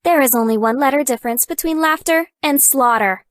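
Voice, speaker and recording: Woman playing a deadpan, nerdy young hacker girl. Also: high-pitched, a little fast, no room sound, lightly acted. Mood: dry, awkwardly affectionate. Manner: dry, deadpan, sarcastic. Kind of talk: scripted dating game character dialogue.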